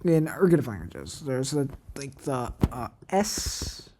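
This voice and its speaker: A woman with a low voice whose first language is Cantonese talks herself through ideas at a desk mic. Her pitch swings widely as she rambles, questions herself and trails off into fillers, and she takes her time. Faint keyboard typing and clicks sit behind her. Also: big pitch swings, slow, noisy background. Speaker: language creator. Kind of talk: thinking aloud while screen-recording.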